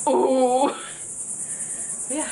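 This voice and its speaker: A woman's voice: sits high, little pitch movement, drawn-out words, creaky, background noise. Chatty teen girl vlogging. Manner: chatty, giggly, upbeat. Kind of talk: casual vlog chat. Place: resort hotel room and grounds. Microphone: camera held at arm's length.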